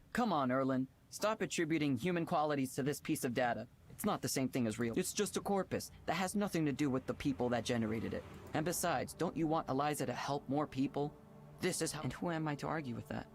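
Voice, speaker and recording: A man's voice: mid-range voice, slight background noise, lightly acted. An actor playing an earnest, conflicted young man who leads a tech program, hesitant and apologetic, in naturalistic visual novel dialogue. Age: young adult. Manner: earnest, hesitant, self-doubting.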